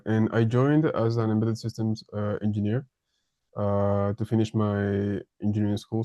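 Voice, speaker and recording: A man in his twenties from France introducing himself in a casual video meeting, talking haltingly with many fillers and small chuckles. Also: fairly low pitch, mellow, no echo. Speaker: embedded systems engineer introducing himself.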